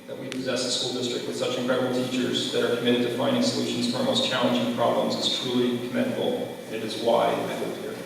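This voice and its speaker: Echoey, fast-talking man giving a campaign speech. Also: mid-range voice, creaky. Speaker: school board candidate. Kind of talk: closing campaign speech at a public forum. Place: large echoey room at a public forum. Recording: echoey hall from far off, faint noise.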